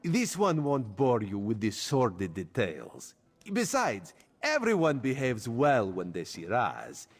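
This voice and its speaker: A man's voice: mid-range voice, huge pitch swings, slow, husky, big-room sound, heavily acted. An actor playing a suave, gossipy cat-man spy in a video game, talking in a sly, playful, theatrical way. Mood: coy, amused. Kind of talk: video game character dialogue.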